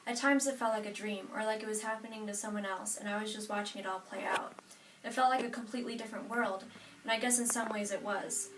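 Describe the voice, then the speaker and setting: A woman's voice: medium pitch, fairly even intonation, fast talker. Young american woman reading her narrative speech, echoey room, mic kinda far away. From United States, Nebraska. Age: 18, young adult. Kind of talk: prepared personal narrative speech read from note cards. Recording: echoey room, distant mic, faint noise.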